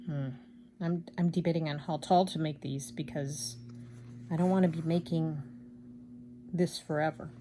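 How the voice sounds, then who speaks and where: Chatty older woman, lowish voice. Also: fairly even intonation, moderate pace, long pauses, slight background noise. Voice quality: loud, projecting voice. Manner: chatty, rambling, self-deprecating. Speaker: craft vlogger. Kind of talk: casual chat while embroidering.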